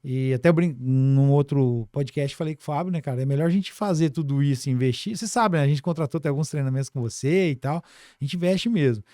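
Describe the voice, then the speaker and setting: A man's voice: on the high side, brisk, dark tone, no echo, clean studio sound. A Brazilian man speaking as a business guest on a casual podcast, colloquial and full of little tag questions. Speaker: podcast guest, financial services business director.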